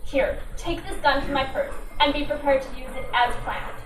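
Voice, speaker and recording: A woman's voice: high-pitched, normal speed, over music, close to an everyday voice. A woman playing a bossy, sarcastic, suspicious gangster sister in an old-time radio drama. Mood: stern, scheming. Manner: sarcastic, scornful, commanding. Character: sharp-tongued, bossy, suspicious brunette sister, secretly a gangster. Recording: roomy, distant mic with faint noise.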